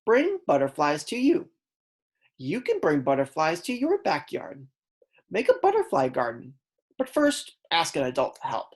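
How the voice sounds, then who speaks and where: A woman kindergarten teacher reading aloud a lesson for young children, explaining words simply and prompting her pupils. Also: sits low.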